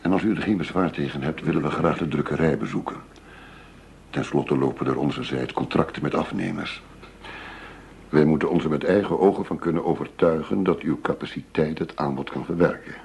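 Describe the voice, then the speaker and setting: An actor playing a shrewd, businesslike undercover negotiator posing as a money dealer in a radio drama. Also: male voice, a bass voice, vocal fry, darkish tone. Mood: businesslike, guarded. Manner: measured, businesslike, formal.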